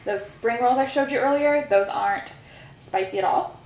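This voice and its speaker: A woman giving a relaxed, chatty food review at her kitchen counter, with some fillers. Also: fairly high pitch, normal speed, poor audio. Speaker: food vlogger. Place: home kitchen counter, indoors.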